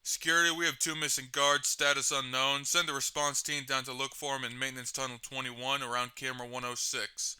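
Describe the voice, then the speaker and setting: Man playing a short-tempered, very bright-voiced control boss. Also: medium pitch, flat delivery, fairly quick, hardly any pauses, clean tone. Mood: commanding, tense. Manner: curt, profane, commanding. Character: gruff, short-tempered male security control room boss. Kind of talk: radio dispatch in an audio drama.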